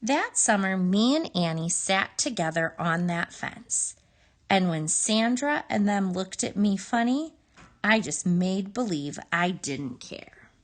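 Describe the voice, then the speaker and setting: Female storybook reader, slow and measured, with animated pitch movement. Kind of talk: expressive children's story read-aloud.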